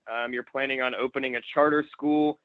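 A man's voice: mid-range voice, steady tone, fairly quick, no echo. A man hosting a casual sports podcast interview, asking wordy questions in a hesitant way. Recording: dull, lo-fi, dry, music behind.

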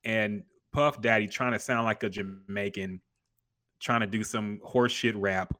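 Blunt, profane man with a bright voice. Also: fairly low pitch, a little slow. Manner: blunt, profane, opinionated ranting. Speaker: podcast host. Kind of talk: casual chat reviewing old rap songs.

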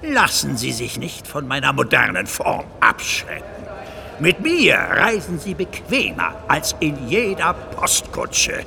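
Casting: proud old steam train for children's radio play, courtly, easily startled, creaky, swooping delivery. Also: male voice, a little high, huge pitch swings, slow, a crowd in the background, exaggerated voice. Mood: proud, blustering. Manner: old-fashioned courtly speech with exclamations. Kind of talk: children's audio drama acting.

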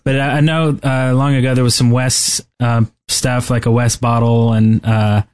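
A man's voice: medium pitch, flat delivery, normal speed, darkish tone, clean tone. A man co-hosting a gaming podcast in an informal, joking, conversational way. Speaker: podcast co-host. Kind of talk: casual gaming podcast chat.

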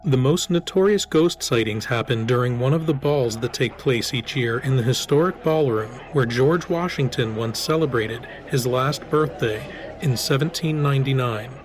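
Mid-pitched man telling a story over music. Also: normal speed, low-quality recording. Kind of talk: scripted storytelling narration.

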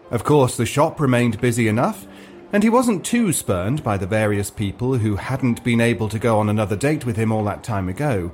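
A man tells a comic fantasy story with dry deliberation. His mid-range voice moves with a lively, varied melody over faint music. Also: mid-range voice. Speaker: story narrator. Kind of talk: scripted comic fantasy narration.

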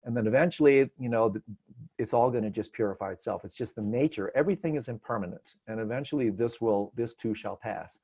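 A man from Detroit, a meditation teacher, answering a question in a relaxed, gentle way with frequent restarts, over a video call. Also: medium pitch, fast talker, husky. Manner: gentle, searching, many restarts. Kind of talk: relaxed spoken teaching, unscripted.

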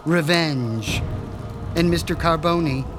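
A man playing a sinister, pompous mad scientist who boasts of his revenge, in a radio drama, with faint music underneath. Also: a little high. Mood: menacing. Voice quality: measured, menacing, pompous. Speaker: Dr. Stein.

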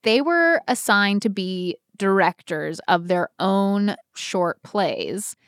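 An American woman co-hosting a podcast, telling personal stories in a casual, animated, slangy and self-deprecating way. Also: medium pitch, takes it slowly, smooth, dry recording, clean studio sound. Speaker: podcast co-host. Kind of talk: casual chat, personal storytelling.

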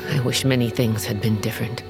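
A woman's voice: extremely low pitch, steady tone, fast. Proud, weary warrior queen. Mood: wistful, regretful. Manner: weighty, regretful, rough-tongued. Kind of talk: fantasy audio drama acting. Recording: music underneath.

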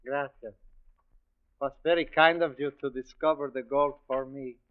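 An actor playing a menacing, mock-courteous Mexican ex-army sergeant with a Spanish accent in a 1940s radio drama. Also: male voice, medium pitch, fairly even intonation, normal speed, lightly acted. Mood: gloating. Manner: mock-polite, formal, commanding. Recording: dull old 1940s radio recording.

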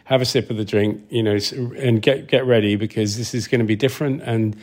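A man being interviewed as a film sound designer, explaining things conversationally in a thoughtful, halting way with repeated words. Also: fairly low pitch, steady tone, a little mellow.

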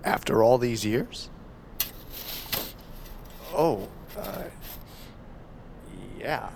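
A man's voice: medium pitch, very animated, very slow, creaky, crowd noise, heavily acted. A man playing an easygoing, considerate survival expert in audio fiction, with a hesitant, self-deprecating manner. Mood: gentle, concerned.

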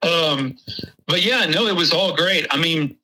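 A man with a Texas accent, a guest chatting casually about a hunting trip, laughing often and pausing with ums. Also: fairly high pitch, sharp tone, rough recording. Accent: Texan. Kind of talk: casual interview chat.

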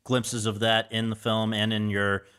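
A man's voice: fairly low pitch, little pitch movement, unhurried, a bit bright, smooth. A man hosting a podcast, introducing an interview and asking questions politely and eagerly. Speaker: podcast host.

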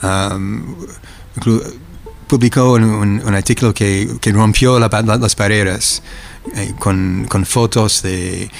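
An older American man with a somewhat low voice gives reflective testimony in an interview. His American accent marks him as a native English speaker. He feels his way haltingly through sentences, repeating syllables as he hunts for the next word. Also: fairly even intonation, gravelly. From United States. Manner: halting, searching for words, frequently repeating syllables. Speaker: interviewee, academic eyewitness.

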